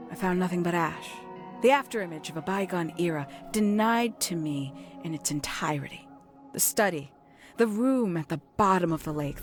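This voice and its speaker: A woman voicing a composed, calculating young woman in a video game, speaking in a measured, formal, theatrical way as she confesses. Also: low voice. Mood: wistful, bitter. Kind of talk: dramatic scripted confession monologue.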